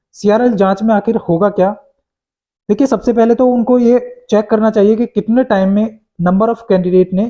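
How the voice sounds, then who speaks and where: A high-voiced man gives an informal news update, addressing viewers directly. His tone is soft-edged and mellow, and he circles back over his points with plenty of English words mixed in. The recording is dull and lo-fi. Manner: repetitive, mixes in many English words. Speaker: host of exam news updates for job candidates. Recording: dull, muffled lo-fi sound.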